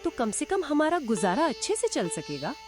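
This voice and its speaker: A woman's voice: high voice, sing-song, moderate pace. A woman voicing an anxious young village wife and mother in a cartoon story, speaking in a pleading tone over music. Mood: worried. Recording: loud music underneath.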